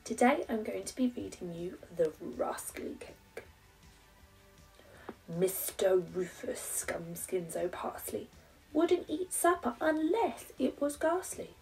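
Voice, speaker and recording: A woman's voice: mid-range voice, expressive, slow, recorded from a distance, poor audio. A woman in her thirties with an English accent, a primary teacher reading a rhyming children's story with dramatic emphasis.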